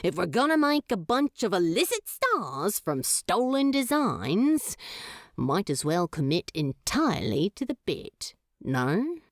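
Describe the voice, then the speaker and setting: A woman's voice: medium pitch, big pitch swings, slow pace, dry recording. Lady doing a cheeky slangy demon dude, laid back and blunt, dry mic. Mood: breezy. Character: casual, cheeky male demon, ageless.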